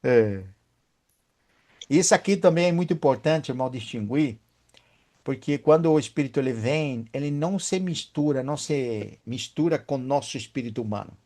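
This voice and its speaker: A man's voice: mid-range voice, expressive, moderate pace, mellow. A man leading a Bible study, emphatic and often asking his listeners for agreement.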